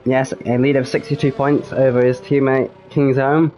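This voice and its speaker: A man giving solo live commentary on an online racing league, slightly croaky from a cold, hesitant with frequent ums and self-corrections. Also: mid-range voice, level tone, moderate pace, darkish tone, rough recording.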